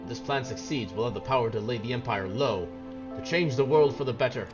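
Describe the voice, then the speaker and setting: An angry young rebel acted out by a man from a fantasy game, defiant and vehement. The delivery is declamatory, with music clearly audible behind it. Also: mid-range voice, fairly quick, highs cut off, close to an everyday voice. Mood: fiery, ambitious.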